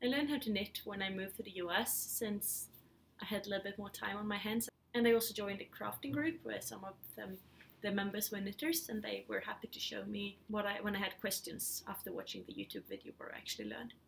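A woman's voice: mid-range voice, expressive, brisk, vocal fry, slight background noise. A woman in her thirties from Sweden with a Swedish accent, chatting casually and a little hesitantly as a podcast host at home. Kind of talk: casual knitting vlog chat. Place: living room at home, indoors.

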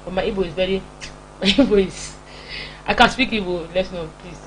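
A woman's voice: mid-range voice, moderate pace, poor audio. A young Nigerian woman gives an informal motivational sermon in an emphatic, repetitive, scolding manner with a Nigerian accent. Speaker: preacher and broadcast host. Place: small indoor home-style studio. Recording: clear background hum and noise.

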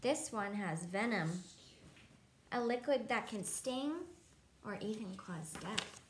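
A woman's voice: medium pitch, animated, slow pace. A woman in her thirties reading a picture book aloud to young children, adding simple explanations and questions. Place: indoors in a home room, seated at a table. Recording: echoey room, clearly noisy home recording.

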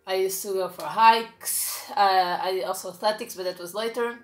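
A woman's voice: medium pitch, fairly even intonation, normal speed, reverberant, faint music behind. A young woman from Portugal with a Portuguese accent, chatting casually to camera at home, with lots of fillers and restarts. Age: young adult. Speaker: vlogger. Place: small bright room at home, indoors. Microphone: camera at a few feet.

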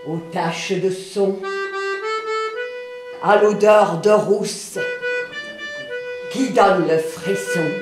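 A woman playing a brash, streetwise Parisian fortune-teller, who talks in slang and calls out to the audience in a small café-theatre, filmed by a far camera. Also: medium pitch. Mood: singing. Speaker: cabaret performer. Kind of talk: live stage monologue and song. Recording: roomy café from far off, music.

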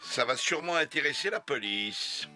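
A man's voice: fairly high pitch, lively intonation, moderate pace, pushed and bright, faint music behind. A man voicing a learned, earnest owl schoolmaster in a cartoon, speaking formally as he explains. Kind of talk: cartoon dubbing.

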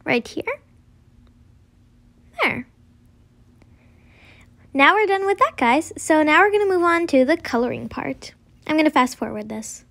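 High-pitched young girl giving drawing instructions. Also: lively intonation, measured pace, creaky, pauses often, fairly bright tone. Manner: simple, informal instruction with frequent "like". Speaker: host of a drawing tutorial. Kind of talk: casual step-by-step drawing tutorial narration.

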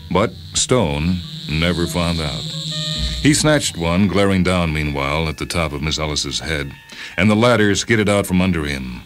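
A man reading a short story aloud as a scripted, dramatic narration. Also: low-pitched. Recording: music bed under the voice. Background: music.

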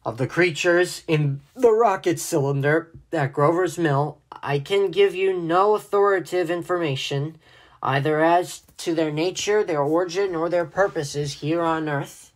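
A man playing a learned professor in a radio play, speaking in a pedantic, deliberate way. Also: fairly high pitch, natural voice. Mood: grave, scholarly. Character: learned male scientist professor giving grave eyewitness conjecture.

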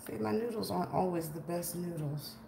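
Chatty home-cook host, 40s woman, slightly low voice, roomy kitchen sound on a tripod camera. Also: a little fast, creaky. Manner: chatty, greets viewers by name while cooking. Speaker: cooking livestream host. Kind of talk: casual live cooking chat with viewers. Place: large home kitchen, indoors. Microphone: camera on a tripod, moving around it. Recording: echoey kitchen with faint room noise.

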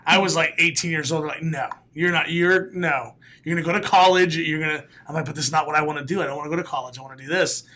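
Comedian guest, male, late 30s, raspy, bright forceful tone, animated talker, studio mic. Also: on the high side, quick pace, few pauses. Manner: animated storyteller, laughs often, bursts into loud announcer calls. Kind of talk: casual podcast interview. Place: small studio room. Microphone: studio mic, headphones.

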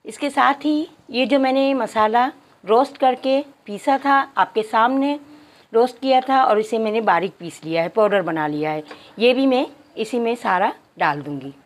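A woman's voice: on the high side, moderate pace. A woman narrating a cooking tutorial step by step, addressing viewers politely. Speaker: cooking channel host.

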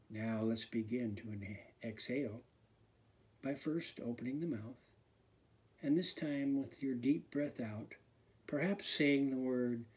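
Male meditation instructor, very slow and hushed, breathy, soothing delivery. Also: mid-range voice. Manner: slow, soft and soothing, often sinking to a near whisper. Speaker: fitness instructor. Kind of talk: guided meditation.

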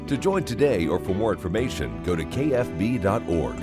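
A man announcing sponsors in a smooth scripted read. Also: low voice, very animated, a little fast, loud music behind the voice.

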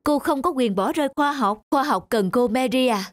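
A man voicing a proud young male scientist in an animated dub, direct and emphatic in manner. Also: very high-pitched, normal speed, dry recording, clean studio sound, faint music behind. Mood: urgent, pleading. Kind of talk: animated cartoon dub dialogue.

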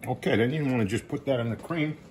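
A man's voice: mid-range voice, fast, darkish tone. A middle-aged man giving a casual, informal step-by-step cooking demonstration as he works in a home kitchen. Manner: informal, step-by-step instruction while working. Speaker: chef and cooking show host. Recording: kitchen noise, sizzling pans. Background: cooking sounds, kitchen ambience.

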